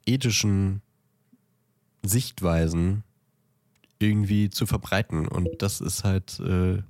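Low-voiced man, close and dry. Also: takes it slowly, a little mellow, no echo. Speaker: podcast co-host. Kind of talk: casual podcast discussion.

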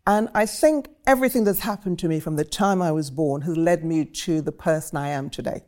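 A woman's voice: low-pitched, brisk, a little mellow. A woman in her seventies from Trinidad, a guest telling her life story in vivid, rhetorical phrases. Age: 70s. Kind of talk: reflective personal storytelling.

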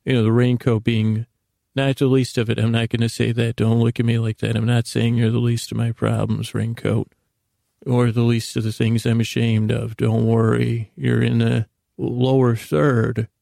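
A man hosting a whimsical solo podcast, meandering and self-deprecating, with gently absurd asides and sentences that trail off and restart. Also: mid-range voice, monotone, normal speed, vocal fry, no echo, studio quality. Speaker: podcast host. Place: small closet indoors, dry sound.

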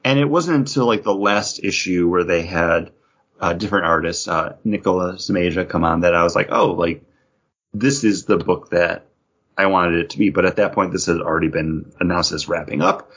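A man's voice: low-pitched, normal speed, a bit bright. A man co-hosting a podcast, chatting casually about comic books in a dull, lo-fi recording. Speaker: podcast co-host. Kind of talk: casual comic book chat.

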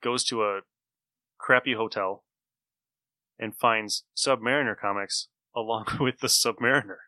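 A man's voice: on the low side, fairly even intonation, unhurried, pushed and bright, no echo. A man hosting a podcast in casual, conversational discussion. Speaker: podcast host.

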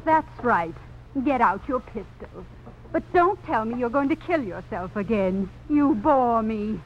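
Cool, selfish, imperious wife in vintage radio melodrama; theatrical delivery, slightly hissy dull sound. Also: female voice, on the high side, animated, measured pace. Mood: scornful. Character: selfish, scornful Southern belle, unfaithful gambler's wife. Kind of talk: 1950s radio drama acting. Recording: muffled old radio broadcast, faint hiss.

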